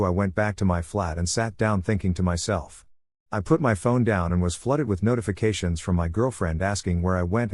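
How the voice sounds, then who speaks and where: A man reading online posts aloud in a steady, even voice-over. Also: low-pitched, dry recording, studio quality. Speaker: story reader.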